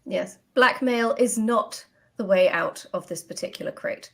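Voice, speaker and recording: A woman's voice: medium pitch, measured pace, creaky, fairly bright tone. A woman playing a tabletop role-playing game, chatting casually and speaking for her character.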